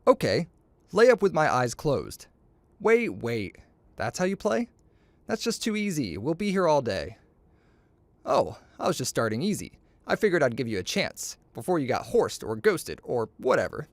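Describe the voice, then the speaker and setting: A man reading a children's story aloud, acting out the dialogue in an expressive, playful, boastful way. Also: on the high side, moderate pace, vocal fry, no room sound.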